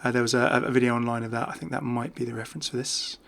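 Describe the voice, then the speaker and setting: A man chats his way through casual game commentary with obvious enthusiasm. His voice is bright and forward with plenty of creak at the edges, and he talks off the cuff, keen on every small detail. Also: mid-range voice, steady tone, racing.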